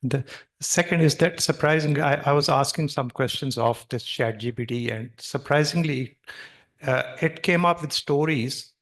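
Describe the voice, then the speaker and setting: A man's voice: fairly high pitch, normal speed, a bit bright. Musing man asking an audience question. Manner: musing, with fillers.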